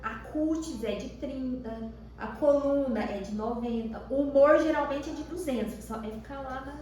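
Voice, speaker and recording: Brazilian female co-host, middle-aged, wry about herself, fairly high voice, heavy echo, off the mic. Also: moderate pace, darkish tone. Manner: self-mocking humour. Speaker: podcast co-host. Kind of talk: casual chat. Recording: echoey room, distant microphone.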